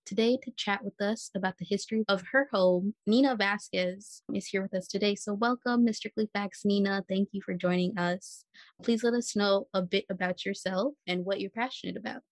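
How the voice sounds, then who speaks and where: A woman's voice: medium pitch, normal speed, no echo. A woman hosting a history podcast and interviewing a guest. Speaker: podcast host.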